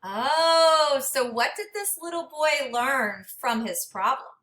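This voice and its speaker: A woman reading a picture book aloud to young children like a teacher, expressively and dramatically, pausing to speak to her listeners. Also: sits high, expressive, sharp tone. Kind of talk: interactive children's read-aloud with questions to listeners. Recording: echoey room, distant microphone.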